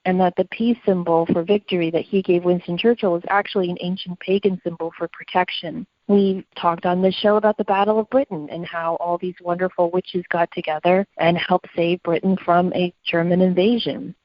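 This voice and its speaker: Lower-pitched woman co-hosting a podcast, dry sound. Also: fairly even intonation, a little fast, hardly any pauses, dry recording, lo-fi sound. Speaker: podcast co-host. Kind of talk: conversational podcast discussion.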